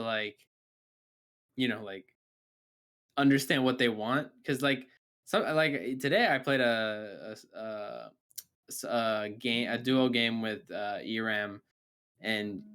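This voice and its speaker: A man's voice: fairly low pitch, a bit bright, clear, resonant tone. A young man from Canada co-hosting a casual gaming podcast, rambling with lots of fillers and restarts. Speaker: podcast co-host.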